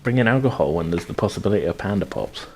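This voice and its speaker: A man's voice: low voice, animated, fast, background noise. A man running a tabletop game as game master, narrating casually in hesitant, conversational phrasing.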